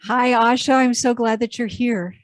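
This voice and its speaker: A woman hosting an interview, asking gentle questions and affirming her guest. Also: a little high, steady tone, normal speed, no room sound. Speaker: interview host. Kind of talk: warm encouraging interview.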